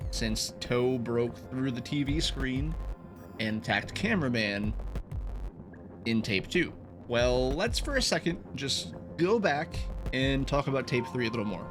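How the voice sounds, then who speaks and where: A man hosting a video essay, reading a scripted, analytical explanation. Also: medium pitch. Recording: voice over background music. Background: background music.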